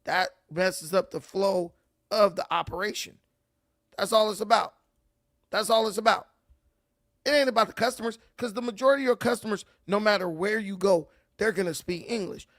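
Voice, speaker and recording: High-voiced ranting male commentator. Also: moderate pace, fairly bright tone, no room sound, clean studio sound. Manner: ranting, repeats words while building a point. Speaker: commentary host. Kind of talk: casual reaction commentary.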